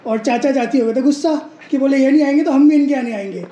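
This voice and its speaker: A man's voice: extremely high pitch, fast talker, dark tone. A man chatting and joking as he vlogs a family road trip, laughing often. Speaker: travel vlogger. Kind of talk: casual family travel vlog. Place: roadside stall, car and family home.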